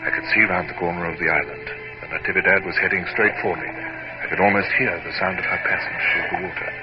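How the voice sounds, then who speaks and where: An actor playing a commanding, exacting naval captain, narrating formally and precisely in an old-time radio drama. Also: male voice, low-pitched, fairly even intonation, fast talker, close to an everyday voice. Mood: grave, dramatic. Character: commanding, exacting British naval captain recounting his battles. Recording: dull, very noisy vintage radio recording.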